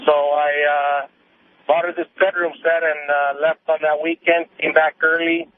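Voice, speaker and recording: A man in his forties calling a radio show and telling a story casually, in a halting way. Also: on the high side, flat delivery, dry recording. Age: 40s. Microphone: telephone. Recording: narrow dry phone line.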